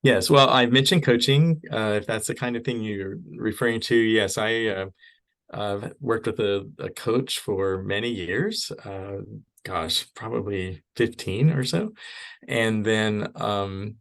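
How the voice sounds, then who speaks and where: A middle-aged man, a podcast guest, speaking in a measured, thoughtful and personal way in casual interview chat. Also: fairly low pitch, a bit bright.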